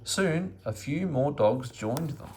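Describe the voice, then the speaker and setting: A man reading a children's story aloud as an expressive narrator. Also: fairly high pitch, slow pace, a little hiss or hum. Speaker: storybook reader.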